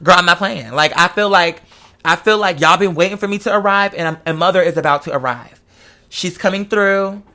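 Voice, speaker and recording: A man's voice: high-pitched, fairly quick, bright, forceful tone. A man in a casual, chatty monologue, speaking in a sassy, campy, slangy and emphatic way.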